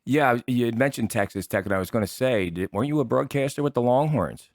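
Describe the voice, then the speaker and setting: An American man hosting a casual interview, asking rambling questions in a halting, searching way. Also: mid-range voice, dry recording, studio quality. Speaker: show host, interviewer.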